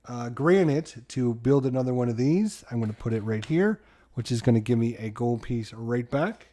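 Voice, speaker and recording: Guy doing excited play-by-play of his solo board game, hypes up every move, middle-aged. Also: medium pitch, moderate pace. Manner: hyped, sports-announcer style play-by-play to viewers. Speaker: board game channel host.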